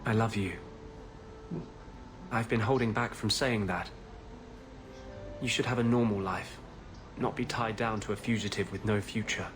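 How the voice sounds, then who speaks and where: A brooding fugitive mage from a fantasy game, played by a male actor, with a lowish voice. He speaks earnestly and intimately, pausing as if confessing devotion, over clearly noisy game ambience. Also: fairly even intonation, a bit bright, lightly acted. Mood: yearning. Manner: earnest, halting, intimate delivery. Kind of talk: fantasy video game voice acting.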